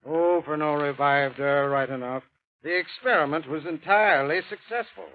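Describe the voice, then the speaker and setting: An actor playing a scholarly professor who lectures in a measured, precise, formal way in a 1930s radio drama. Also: male voice, a little high, lightly acted. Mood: grave, informative. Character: scholarly professor recounting strange scientific history. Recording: hissy, narrow-band 1930s radio recording.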